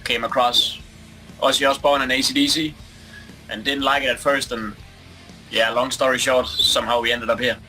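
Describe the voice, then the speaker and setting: A man's voice: medium pitch, little pitch movement, sharp tone, faint music behind, low-quality recording. Thoughtful Danish man in his twenties. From Denmark. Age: 20s. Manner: thoughtful, pauses with fillers. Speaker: band member. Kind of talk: casual interview answers.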